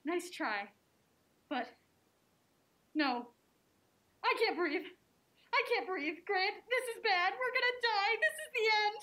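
Actress as exasperated, very high-voiced young woman. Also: animated, takes it slowly, pauses often, sharp tone, full character voice. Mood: dry, then panicked. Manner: snappy, dry sarcastic retorts. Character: Alma, exasperated anxious sharp-tongued young working woman. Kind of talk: theatrical old-time radio drama acting.

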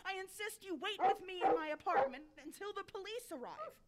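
A woman's voice: extremely high pitch, animated, a little fast, heavy background noise. A woman playing a grandiose, meddling, overprotective neighbor in a comic audio drama, melodramatic and indignant. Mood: huffy, insistent. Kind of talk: audio drama comedy acting.

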